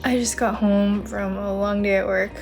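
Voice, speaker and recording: A woman's voice: mid-range voice, fairly even intonation, moderate pace, a little mellow, faint music behind. A young woman narrating her day in an upbeat, chatty vlog, talking straight to her viewers. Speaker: vlogger. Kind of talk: casual day-in-the-life narration.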